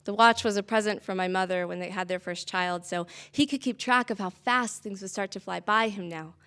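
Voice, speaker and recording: A young woman performing spoken-word slam poetry with a dramatic, deliberate delivery and measured pauses. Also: medium pitch, pushed and bright, clean tone. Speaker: slam poet.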